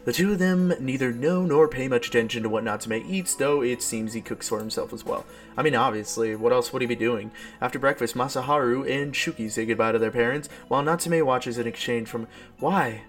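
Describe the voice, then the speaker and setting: A man's voice: medium pitch, a little fast. A young adult man reading a visual novel aloud as a streamer, with casual, slangy, exclamatory reactions. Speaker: let's play streamer. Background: background game music.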